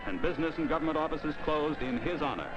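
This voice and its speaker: A man's voice: a little high, expressive, fast talker. A man reading scripted 1950s newsreel narration in an emphatic, declamatory way. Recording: dull old newsreel film soundtrack. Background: music.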